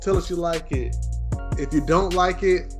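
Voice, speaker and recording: A man's voice: high voice, moderate pace, music in the background. A man in his twenties who grew up in Texas, co-hosting a casual podcast chat in a laid-back way, repeating himself and laughing easily. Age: 20s. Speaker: podcast co-host.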